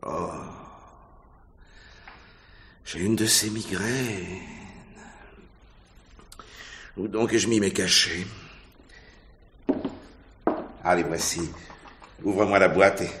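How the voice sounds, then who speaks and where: An actor playing a cynical, taunting husband in a theatrical, sardonic radio mystery style. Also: male voice, on the low side, unhurried, fairly bright tone, a little hiss or hum. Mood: weary. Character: cynical, morbid husband, a stage actor baiting his wife. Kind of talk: 1950s-style French radio mystery acting.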